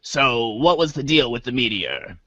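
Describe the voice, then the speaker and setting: Casting: man as confused, exasperated young boy hero for amateur webcomic drama; forceful, animated, a little high. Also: moderate pace, dry recording, muffled recording. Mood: puzzled, wry. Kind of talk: amateur reader's theater of a webcomic.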